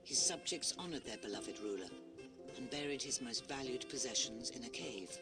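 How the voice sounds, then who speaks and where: Casting: man as dramatic fairy-tale narrator for a game, medium pitch, measured scripted storytelling over music. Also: animated, lightly acted. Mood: stately. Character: male storybook narrator of a heroic fable.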